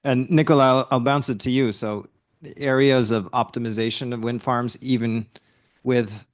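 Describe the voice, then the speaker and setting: A man's voice: medium pitch, fairly even intonation, normal speed. A man guiding a panel discussion at an industry forum. He poses questions in a curious, mildly wry way and hands the floor to the next speaker. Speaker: panel moderator.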